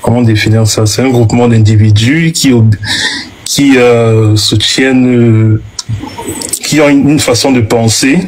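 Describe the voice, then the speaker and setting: A man's voice: medium pitch, steady tone, measured pace, darkish tone, low-quality recording. A young man in his late twenties answers challenges in a live debate. He falters often, fills gaps with "euh" and restarts his sentences. His mid-range voice comes with a light hiss behind it. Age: 20s. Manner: hesitant, searching for words, many fillers. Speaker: guest in debate. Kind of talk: heated debate.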